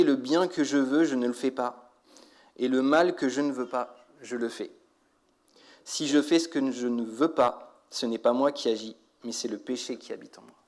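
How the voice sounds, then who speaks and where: A man preaching a sermon, exhorting his listeners with rhetorical questions and repeated phrases for emphasis. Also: medium pitch. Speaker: church preacher.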